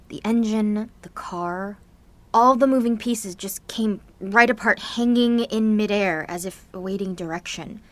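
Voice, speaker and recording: A woman's voice: a little high, fairly bright tone, no echo. A woman playing an anxious, guilt-ridden seventeen-year-old girl with superpowers in an audio drama, speaking in a confessional, sardonic, conversational way. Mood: tense recounting.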